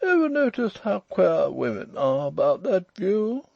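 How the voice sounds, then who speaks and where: A man voicing a languid, overbearing, lordly English gentleman with an upper-class drawl in a storybook reading. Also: high voice, big pitch swings, unhurried, gravelly, no echo, highs cut off, voice pushed up, heavily acted. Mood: bored, knowing.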